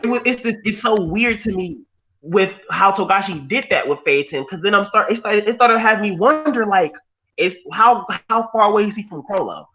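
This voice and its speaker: A man's voice: high voice, moderate pace. Rambling man on a muffled call. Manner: animated, rambling with many restarts. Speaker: stream host. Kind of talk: casual group discussion. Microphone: online voice call. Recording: dull lo-fi audio.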